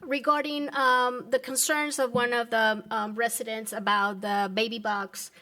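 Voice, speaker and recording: A woman from the Dominican Republic making a public comment at a government meeting, earnest and halting as she searches for words. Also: on the high side, little pitch movement, bright, forceful tone. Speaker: resident speaking at public comment.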